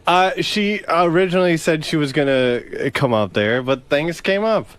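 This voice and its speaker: A man in casual radio chat, giving reluctant, evasive answers in a halting mumble. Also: fairly high pitch, animated, fairly bright tone, clean tone. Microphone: studio radio mic.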